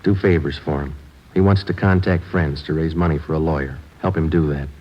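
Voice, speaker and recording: Muffled vintage radio. hard-boiled detective sergeant, low-pitched, clipped, deadpan. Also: male voice, fairly quick. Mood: stern, pressing. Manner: clipped, matter-of-fact, deadpan. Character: no-nonsense male police sergeant, terse, hard-boiled, narrating his cases. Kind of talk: 1940s-50s radio police drama acting and narration.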